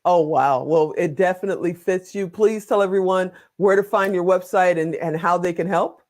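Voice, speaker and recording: Man, very high register, chatty talk-show interviewer with an informal, joking delivery. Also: normal speed, darkish tone.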